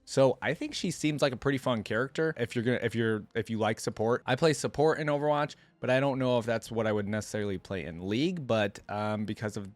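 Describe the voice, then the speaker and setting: A man hosting a reaction video, talking casually as he thinks out loud and reads text from the screen. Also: medium pitch, quick pace, hardly any pauses, dry recording.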